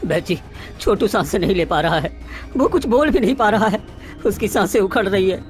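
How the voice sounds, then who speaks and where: A woman's voice: mid-range voice, animated, fairly quick, soft background music, natural voice. A woman voicing a poor, desperate village father in a cartoon, earnest and pleading. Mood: anxious, pleading. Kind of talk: melodramatic cartoon dialogue acting.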